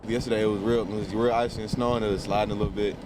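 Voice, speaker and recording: A man's voice: fairly low pitch, level tone, rapid-fire, noisy background. A man talking casually outdoors in a street interview.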